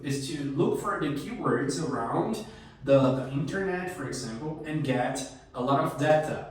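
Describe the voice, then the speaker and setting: A young Brazilian man speaks English with a Brazilian accent in a relaxed, hesitant way, with frequent restarts and fillers. His voice sits fairly high for a man. He is recorded from a distance in a very echoey room. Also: brisk. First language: Portuguese. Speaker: host. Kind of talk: casual conversational English practice.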